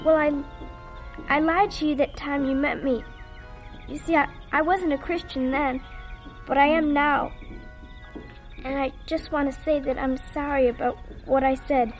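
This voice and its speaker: A woman's voice: high-pitched, steady tone, measured pace, pauses often, soft background music. A woman playing an earnest, shy little girl in a vintage radio drama, hesitant and timid.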